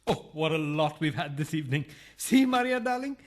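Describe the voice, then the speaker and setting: A man's voice: a little high, big pitch swings, a little fast. An actor playing a polite, cheerful, bumbling married man in a farcical radio play, chirpy and ingratiating.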